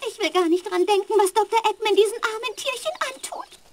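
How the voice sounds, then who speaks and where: A woman's voice: very high-pitched, fairly quick, darkish tone. A woman playing a sweet, anxious young female hedgehog in a video game dub, tender and pleading. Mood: worried.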